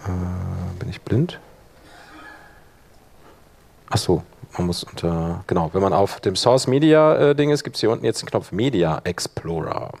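Guy doing a casual software workshop, kinda thinking out loud as he clicks around. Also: low-pitched, moderate pace, vocal fry. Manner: colloquial, thinking aloud while working. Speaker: workshop presenter. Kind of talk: informal live software demonstration.

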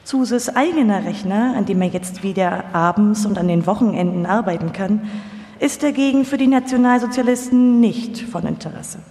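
A woman's voice: medium pitch, normal speed, mellow. A woman reading scripted documentary narration live. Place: live stage in a reverberant hall. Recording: echoey hall with long reverb.

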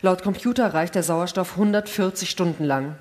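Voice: mid-range voice, moderate pace, darkish tone, close to an everyday voice. An actor playing a resigned, rule-abiding astronaut in a science fiction radio play, terse and matter-of-fact. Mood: resigned, uneasy.

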